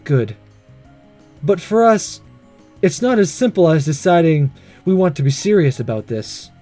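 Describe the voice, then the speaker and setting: Man voicing gentle, measured woman school principal. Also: on the high side, expressive, faint music behind. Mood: kind, serious. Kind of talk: reading visual novel dialogue aloud.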